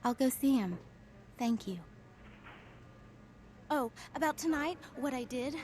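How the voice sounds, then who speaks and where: An actress voicing a guilty, frightened young vampire woman in a video game, halting and pleading as she speaks. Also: high voice, expressive, darkish tone, a little hiss or hum. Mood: anxious, pleading. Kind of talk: video game voice acting, dramatic dialogue.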